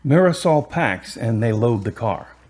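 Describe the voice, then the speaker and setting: A man's voice: medium pitch, animated, a little slow, darkish tone, husky. A man reading scripted narration for a radio drama as its narrator.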